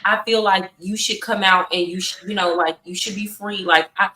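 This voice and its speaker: A woman's voice: mid-range voice, fairly even intonation, moderate pace, pushed and bright. An outspoken woman debating in a casual, conversational way as a panelist on a podcast. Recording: roomy echo, distant from the mic.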